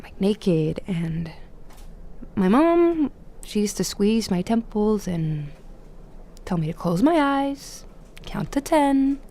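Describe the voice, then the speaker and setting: A woman's voice: medium pitch, very animated, slow pace, long pauses, mellow. A young woman acting in an audio drama as a guarded, awkward academic, speaking in a hesitant, reserved way that trails off. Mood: hesitant, nostalgic. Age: young adult.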